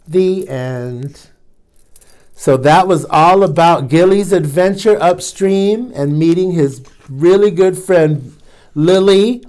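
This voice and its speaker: Male storytime host reading a kids' picture book, lively and playful, unhurried, slightly raised pitch. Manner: animated, playful asides to young listeners.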